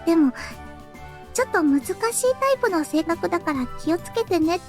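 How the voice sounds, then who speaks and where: A woman's voice: very high voice, big pitch swings, very fast, faint music behind. A woman playing a girlish young female character in a Japanese visual novel. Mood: wistful, hesitant.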